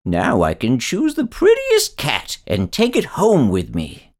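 A man voicing a kindly, eager very old man in a storybook reading, in a gentle, earnest tone. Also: low voice, huge pitch swings, slow, creaky, breathy edge, heavily acted.

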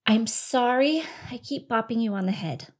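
A woman's voice: on the high side, normal speed, lightly acted. A woman voicing a hot-tempered young male bunny in a storybook, childlike, earnest and a bit whiny. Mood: trying politeness.

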